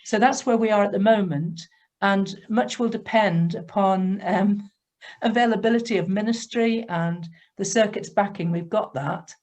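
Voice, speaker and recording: Woman, church leader, measured, mid-pitched, video call. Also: darkish tone, low-quality recording.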